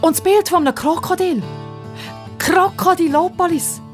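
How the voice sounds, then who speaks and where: Woman acting out an excitable, high-pitched young girl. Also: moderate pace, soft background music. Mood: excited. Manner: excited, calling out. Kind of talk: children's audio story acting.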